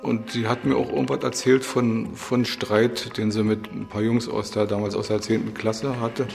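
A man's voice: lowish voice, level tone, normal speed, gravelly, a little mellow. A man, a teacher, recalling events in an interview. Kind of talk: recollection in an interview. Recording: hall reverb, faint music behind.

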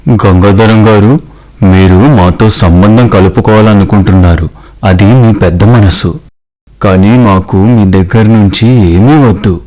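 A man's voice: lowish voice, measured pace, mellow, close to an everyday voice. A man voicing a polite, principled man from a poor family in a cartoon story, respectful and sincere. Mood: gentle, earnest.

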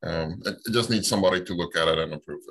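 A man's voice: sits low, fast, a bit bright. A man whose first language is Dutch, speaking casually on a video call, hesitant with fillers. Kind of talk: casual meeting chat.

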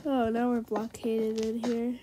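A woman's voice: fairly high pitch, unhurried, slight background noise. A young woman with a sick, nasal voice chatting casually to viewers over her gameplay. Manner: chatty, easily excited, talks to viewers. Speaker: Minecraft let's player.

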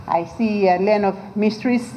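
A woman from Papua New Guinea giving casual interview remarks, thoughtful and pausing with fillers. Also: medium pitch, dark tone, a little hiss or hum, low-quality recording.

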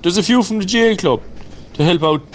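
An Irish man acting as a keen local sports club member at a parish meeting in a radio drama. His voice is high-pitched for a man, used in casual, everyday talk. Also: fairly quick, natural voice. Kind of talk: amateur radio drama dialogue.

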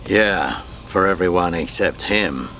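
An actor playing a shrewd, easygoing middle-aged mentor in a video game. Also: male voice, low voice, slow, pushed and bright, slight background noise, full character voice. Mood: probing. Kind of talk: video game cutscene acting.

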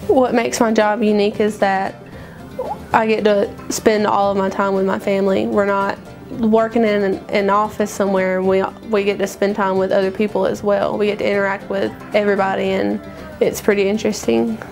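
Woman, vocal fry, flat intonation, interview, faint music. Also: mid-range voice, moderate pace. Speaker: family auction worker. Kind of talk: documentary interview.

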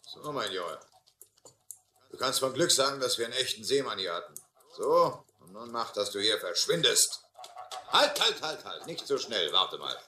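An actor playing a brisk, fatherly small-town policeman in a German radio drama. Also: medium pitch, very animated, pushed and bright, reverberant, slight background noise.

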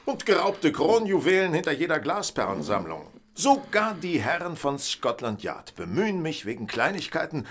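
Haughty, wildly expressive detective. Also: male voice, on the high side, normal speed, hardly any pauses, slight background noise. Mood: disdainful complaint. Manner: theatrical, haughty, sardonic, formally articulate. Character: famous detective, vain, bored, ironic gentleman. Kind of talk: German audio drama acting.

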